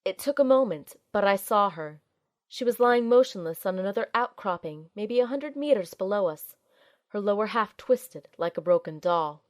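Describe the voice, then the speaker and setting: A woman's voice: medium pitch, animated, normal speed, close to an everyday voice. A woman playing an earnest, anxious young field operative, acting and narrating in the first person in an audio drama. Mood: tense narrating. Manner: earnest, urgent.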